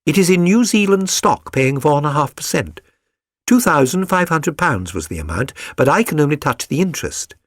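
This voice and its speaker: A man's voice: a little high, big pitch swings, moderate pace, no echo, studio quality, pitched above the performer's own voice. A man voicing a chatty, flustered young working-class London woman in a storybook reading, rambling earnestly and eagerly.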